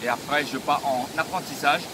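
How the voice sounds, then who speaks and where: Higher-voiced man over loud noise. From Vietnam, of Chinese descent, moved to France at fourteen. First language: not French, learned French as a teenager. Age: 50s. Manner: halting, non-native French phrasing with repeated phrases. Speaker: YouTuber answering viewer questions. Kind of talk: casual vlog Q&A chat. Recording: loud wind and ambient outdoor noise.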